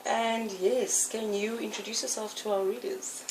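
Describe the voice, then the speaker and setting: A woman interviewing a guest for an online magazine, chatting in a friendly way with quick affirmations. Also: on the high side, level tone, background noise. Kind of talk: casual interview.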